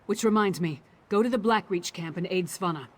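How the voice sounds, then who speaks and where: An actress playing a brisk, pragmatic female adventurer ally in a fantasy video game, speaking in a businesslike, matter-of-fact way. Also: fairly low pitch, natural voice. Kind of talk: scripted video game dialogue. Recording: faint noisy game ambience behind voice.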